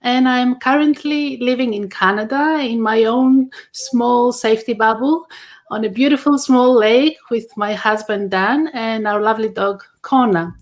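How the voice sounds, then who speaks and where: A cheerful woman from Greece introduces herself on a video call. Her voice sits a little high. She laughs easily as she talks. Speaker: workshop speaker. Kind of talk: online interview.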